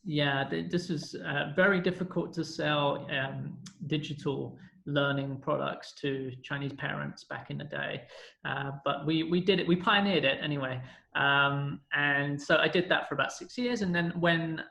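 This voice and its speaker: A man's voice: fairly high pitch, fairly even intonation, normal speed, vocal fry, sharp tone. A British man from London in his thirties, a podcast guest who tells his story in a rambling, self-correcting way. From London, England. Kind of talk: casual storytelling interview. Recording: echoey room.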